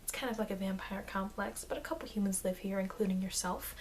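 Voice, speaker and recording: Through a faintly noisy amateur mic comes a woman acting as an eager young-seeming vampire girl in an ASMR roleplay. She is friendly and chatty, with a mid-pitched voice. She rambles awkwardly and hesitates as she goes. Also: fast, close to an everyday voice. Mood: excited, chatty. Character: friendly, flustered, eager vampire girl, thousands of years old. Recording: noisy amateur microphone, background hiss.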